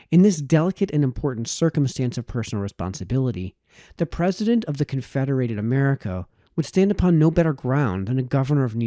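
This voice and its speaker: A man reading a formal historical text aloud as scripted narration, in measured, formal phrasing. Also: mid-range voice, mellow, dry recording, clean studio sound. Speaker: audiobook reader.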